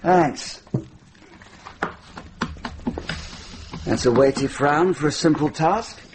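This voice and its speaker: An actor playing a genial, witty deputy sheriff in a radio drama, talking with wry banter. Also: male voice, a little high, a little slow, close to an everyday voice. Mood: teasing. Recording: noisy vintage radio drama recording.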